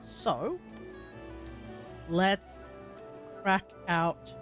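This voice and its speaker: A woman's voice: mid-range voice, extremely slow, over music. A woman live streaming a game, chatting casually and rambling as she thinks aloud. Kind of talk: casual gameplay livestream chat.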